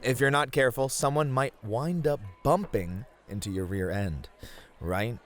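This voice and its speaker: A man's voice: medium pitch, measured pace, faint music behind, lightly acted. A man playing a bashful yet flirty male bunny host who gets easily flustered, in an ASMR roleplay. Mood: wry, storytelling. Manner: flustered, hesitant, playfully protesting.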